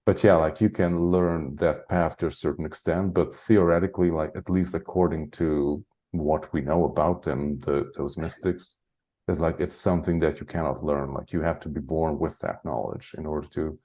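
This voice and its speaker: Man, very low deep voice, dark mellow tone, rambling chat full of "like" and chuckles. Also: steady tone, moderate pace. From Hungary. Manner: rambling, heavy use of "like", frequent chuckles. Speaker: guest, spiritual channeler. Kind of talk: casual interview chat.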